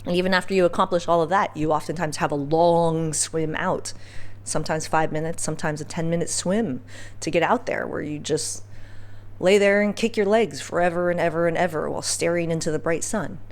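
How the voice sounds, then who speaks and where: A woman's voice: lowish voice, steady tone, fairly quick. A woman hosting a podcast, telling personal stories in a casual, candid way with informal slang and emphatic phrasing. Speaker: podcast host.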